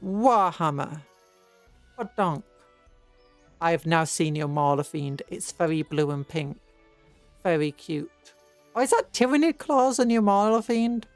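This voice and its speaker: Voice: mid-range voice, lively intonation, unhurried, pauses often, no echo. A British livestream host chatting casually in a rambling, hesitant way, often trailing off and restarting. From Britain. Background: music.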